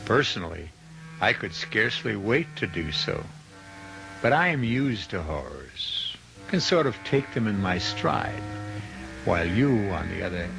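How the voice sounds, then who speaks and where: An actor playing the urbane, macabre host of a suspense radio show, narrating in a deliberate, droll, theatrical way. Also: male voice, lowish voice, gravelly, full character voice, poor audio.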